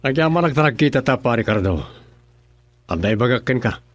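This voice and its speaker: A man's voice: mid-range voice, expressive, brisk, over loud music, close to an everyday voice. An actor playing a defensive young man in Ilocano radio drama, with a Filipino accent. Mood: defensive, challenging.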